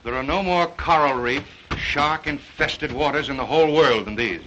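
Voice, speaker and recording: An actor playing an earnest, cautious ship's captain in 1930s film style, worried and deferential. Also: male voice, fairly high pitch, normal speed, dull audio, background noise, lightly acted. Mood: urgent.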